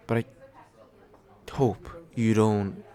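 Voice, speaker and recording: Slow, low-voiced, tender nervous boyfriend played by a man. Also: steady tone. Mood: warm, nervous. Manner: halting, gently self-deprecating, with small stammers. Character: tender, nervous, attentive man on a second date. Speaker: voice actor in an audio roleplay. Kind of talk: soft intimate ASMR boyfriend roleplay.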